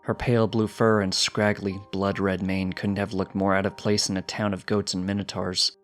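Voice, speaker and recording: A man narrates fiction from a script in a fairly low voice. Music plays quietly behind his reading. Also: level tone, normal speed.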